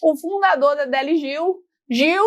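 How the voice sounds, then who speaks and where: A woman's voice: high-pitched, measured pace, no echo, studio quality. A young Brazilian woman hosting a casual videocast interview in a chatty, informal and teasing way. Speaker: videocast host.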